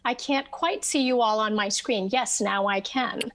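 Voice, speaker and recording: Slow, halting woman moderating a panel. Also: a little high, a bit bright, slight background noise. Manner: halting, many ums and restarts. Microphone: webcam on video call.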